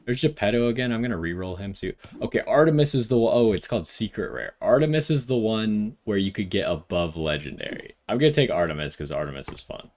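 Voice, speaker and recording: Low-voiced man commentating his gameplay. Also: expressive, fast talker, few pauses, a little mellow. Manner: thinks aloud while deciding. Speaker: gaming video host.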